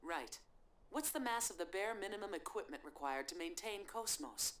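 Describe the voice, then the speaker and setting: An actress playing a blunt, shrewd investigator in an English-dubbed video game, matter-of-fact and wry. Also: female voice, medium pitch, lively intonation, moderate pace, fairly bright tone, natural voice. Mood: cool, analytical.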